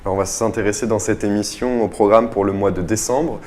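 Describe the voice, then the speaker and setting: A man's voice: fairly low pitch, steady tone, a little fast, a little mellow. A man hosting a radio interview, introducing segments and putting questions to a guest. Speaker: radio host.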